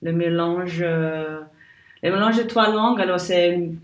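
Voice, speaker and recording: Slow, hesitant English-Canadian woman. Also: lowish voice, reverberant, distant mic. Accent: English-speaking Canadian. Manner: hesitant, searching for words in a second language. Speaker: guest, naturopath. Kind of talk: podcast interview.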